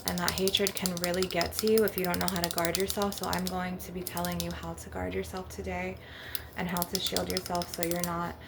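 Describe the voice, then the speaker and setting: Gravelly-voiced woman in a rambling ASMR monologue. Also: lowish voice, level tone, fairly quick. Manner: casual, repetitive tag questions, frequent swearing. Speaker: host of an ASMR channel. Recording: noisy, with clicking and tapping sounds. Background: crystal and glass tapping.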